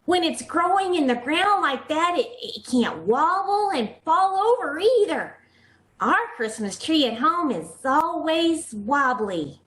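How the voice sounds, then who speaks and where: A woman's voice: high voice, very animated, unhurried, a bit bright, exaggerated voice. A woman playing an eager, pestering little neighborhood girl in 1940s radio comedy style, childlike and full of repeated questions. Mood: inquisitive. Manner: childlike, repeats questions, says gee and I betcha. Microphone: video call. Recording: roomy, echoing video call audio.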